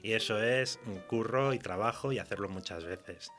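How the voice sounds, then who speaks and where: A man's voice: lowish voice, moderate pace, a bit bright, soft background music. A man with a Spanish accent from Spain, answering informally as a writer in a radio interview, telling anecdotes in a chatty, colloquial way. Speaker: interview guest, fiction writer.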